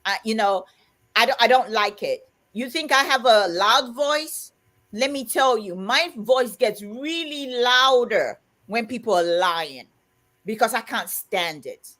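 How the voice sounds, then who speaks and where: A woman co-hosting a live chat stream, telling animated stories, laughing often and reading viewer comments aloud. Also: fairly high pitch, a little slow. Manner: animated, laughs often, acts out quoted dialogue. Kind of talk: casual chat, storytelling.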